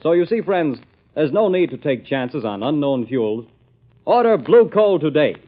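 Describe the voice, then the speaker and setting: A male announcer gives a polished, emphatic sales pitch in old-time radio style. His fairly high voice swings animatedly up and down. The recording is dull and dated. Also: sing-song, normal speed. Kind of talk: vintage radio advertising read.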